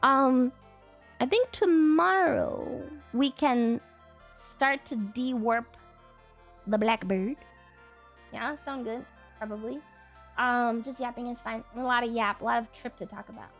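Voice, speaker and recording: A high-voiced woman sounds stuffed up from a cold as she rambles through a casual stream. She slides into whiny, playful protests, and soft music plays faintly behind her home desk mic. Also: slow pace, long pauses. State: congested with a cold. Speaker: livestreamer.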